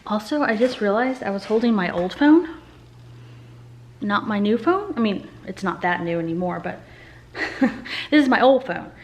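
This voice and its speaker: A woman's voice: medium pitch, animated, unhurried, long pauses. A woman in her thirties with an American accent, a mother vlogging in a casual, informal, rambling chatty style. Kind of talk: casual chat to camera. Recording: noisy home-video sound with clicks.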